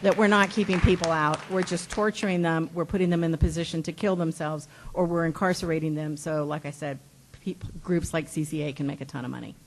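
Low-voiced impassioned woman panelist. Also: steady tone, brisk, slight background noise. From El Paso, Texas. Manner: impassioned, rambling, restarts, laughs as she talks. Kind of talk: panel discussion answer.

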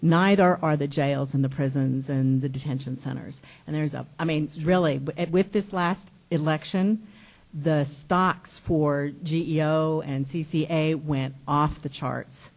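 A woman's voice: low-pitched, fairly even intonation, measured pace, a little mellow. A middle-aged woman from El Paso, Texas, answering questions on a panel in an impassioned, rambling way, with restarts and laughs as she talks.

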